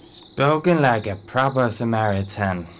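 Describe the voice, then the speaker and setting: A man's voice: mid-range voice, animated, unhurried, a little hiss or hum, lightly acted. An actor playing a timid, wimpish wealthy man in a radio play, with a hesitant, anxious manner. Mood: sycophantic.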